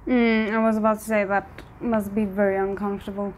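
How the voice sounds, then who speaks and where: A woman giving casual reaction commentary, thinking aloud in an expressive way. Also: medium pitch, a little fast. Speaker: reaction video host.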